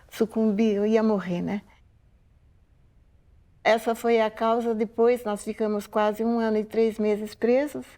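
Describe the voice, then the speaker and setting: Older woman, slightly high, reflective. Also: darkish tone. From Poland. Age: 80s. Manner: reflective, unhurried, with frequent tag questions. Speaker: museum oral-history interviewee. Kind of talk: unscripted spoken testimony.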